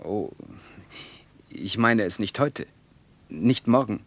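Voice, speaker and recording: Guarded, teasing young Russian man, low-voiced. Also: expressive, takes it slowly, exaggerated voice. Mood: teasing, provocative. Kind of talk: German radio play dialogue.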